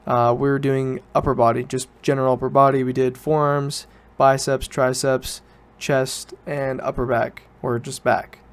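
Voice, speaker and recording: A man's voice: mid-range voice, little pitch movement, normal speed, few pauses, smooth. A young man, student age, talking casually and informally as a solo podcast host, rambling and correcting himself as he goes. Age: teens to young adult student.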